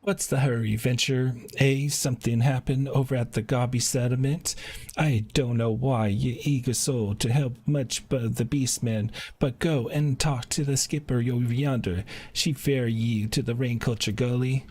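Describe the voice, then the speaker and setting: A man voicing a gruff, folksy local quest-giver from a fantasy game, with colloquial phrasing and some noise in the recording. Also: medium pitch, steady tone, normal speed, a little mellow, pitched above the performer's own voice, close to an everyday voice. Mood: brusque, curious. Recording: faintly noisy home stream audio.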